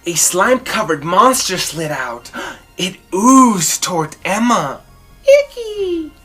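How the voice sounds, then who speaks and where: A woman storyteller reading a children's picture book aloud expressively, with dramatic, drawn-out emphasis. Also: on the low side, sing-song, pushed and bright, slightly rough, faint music behind.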